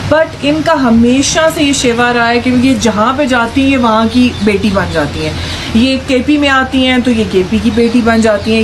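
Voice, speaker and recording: A woman political commentator giving a sarcastic, scolding opinion vlog. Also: a little high. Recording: echoey room with noise.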